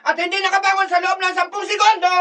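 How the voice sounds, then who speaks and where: An actor playing a rowdy, excitable young referee of street fights in a radio drama, with a Filipino accent. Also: male voice, very high-pitched, fast talker. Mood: rowdy, bossy. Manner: excitable, shouting. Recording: distant from mic amid crowd noise.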